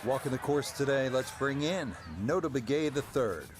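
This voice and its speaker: A man's voice: mid-range voice, moderate pace, noisy background, close to an everyday voice. A man playing a composed TV golf announcer, giving broadcast play-by-play in a video game. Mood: composed, conversational.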